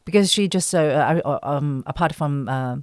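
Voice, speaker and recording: A woman speaking as an interview guest on a podcast, halting and thoughtful, with many pauses. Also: low voice, darkish tone, dry recording, clean studio sound. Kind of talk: conversational interview.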